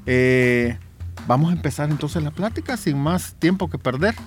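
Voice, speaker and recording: A man's voice: a little high, animated, normal speed, faint music behind. A man hosting a radio interview, affable and adding short agreeing replies.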